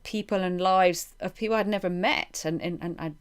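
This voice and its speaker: Female podcast host, lowish resonant voice, thoughtful conversational questioning with halting, circling phrasing. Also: clean tone. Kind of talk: reflective interview conversation.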